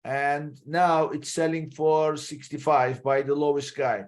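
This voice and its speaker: A man's voice: on the high side, little pitch movement, a little slow. A man hosting a podcast interview in a conversational style, summing up and posing questions. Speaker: podcast host.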